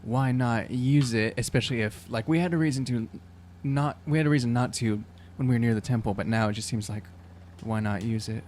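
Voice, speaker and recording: A man playing a tabletop game, improvising in a casual, halting way as he searches for words. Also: medium pitch, darkish tone, slight background noise. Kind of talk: casual improvised role-play chat.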